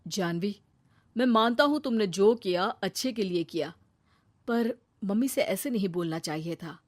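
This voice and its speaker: A performer voicing a calm, supportive young husband in an animated moral story, soft and reassuring. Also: medium pitch, normal speed, voice pushed down, close to an everyday voice.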